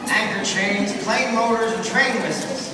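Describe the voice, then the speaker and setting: A man's voice: sits high, unhurried, a crowd in the background. An actor playing an eager, earnest young man with big dreams, in a live staged radio play. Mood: cheerful. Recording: echoey hall, mic far off, noisy.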